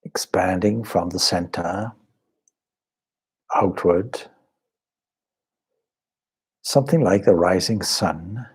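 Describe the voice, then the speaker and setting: A man leading a guided meditation as a teacher, speaking in measured, deliberate short phrases with pauses and a soft, husky voice. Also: deep voice. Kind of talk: guided meditation, slow spoken instruction.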